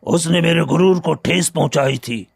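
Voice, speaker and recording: A man voicing a proud, vengeful moneylender villain in a cartoon story, with a deliberate, menacing manner. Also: fairly high pitch, vocal fry, slightly rough, dry recording, studio quality. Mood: gloating, vengeful. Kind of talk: Hindi cartoon story acting.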